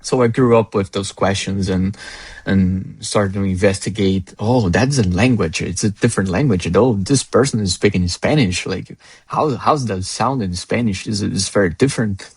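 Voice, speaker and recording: A man's voice: on the low side, moderate pace, a little mellow, husky, no room sound. A man from northern Brazil with a Brazilian Portuguese accent, a native Portuguese speaker talking as an interview guest in a rambling way, with many restarts and fillers. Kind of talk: casual interview chat.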